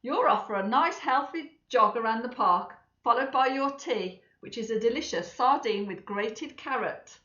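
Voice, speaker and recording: A woman's voice: a little high, lively intonation, normal speed, hardly any pauses, bright, forceful tone. Woman putting on a bossy storybook mother elephant, echoey room. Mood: stern, bossy. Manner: emphatic, declarative, bossy. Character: stern, bossy plump mother elephant, exasperated but affectionate. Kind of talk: children's storybook read-aloud. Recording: echoey room, slightly dull sound.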